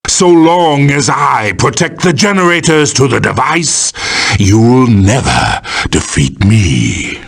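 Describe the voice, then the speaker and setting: A man's voice: medium pitch, sing-song, slow pace, vocal fry, breathy edge, exaggerated voice. A man voicing a boastful, power-mad supervillain for a video game, grandiose, theatrical and menacing in delivery. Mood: taunting. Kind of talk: video game villain voice acting.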